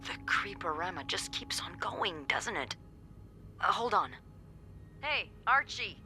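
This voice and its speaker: An actress playing a chatty, wry young woman working as a hotel receptionist in a video game, with playful, expressive delivery. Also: medium pitch, slow, exaggerated voice. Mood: intrigued, helpful. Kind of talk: video game dialogue acting.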